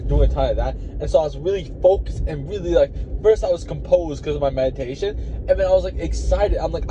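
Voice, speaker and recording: A young man, a college wrestler, telling his story in a casual, excitable vlog, full of "like" and "you know what I'm saying". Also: fairly high pitch. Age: young adult. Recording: muffled lo-fi audio with traffic noise.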